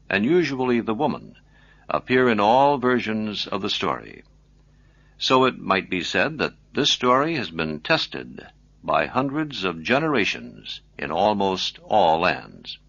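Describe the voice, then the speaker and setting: Low, forceful male presenter, dull recording. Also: sing-song, unhurried, gravelly, dry recording. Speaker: programme presenter. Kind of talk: scripted educational radio narration.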